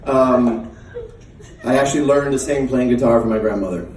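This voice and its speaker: A middle-aged man talks casually from a stage between numbers, full of small laughs and halting fillers. His mid-range voice carries into a roomy live space and sounds picked up from some distance, with faint room ambience behind. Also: medium pitch, a little mellow, slight background noise. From United States. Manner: chatty, laughing often, hesitant with many fillers. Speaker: singer and performer. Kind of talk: casual onstage chat between songs.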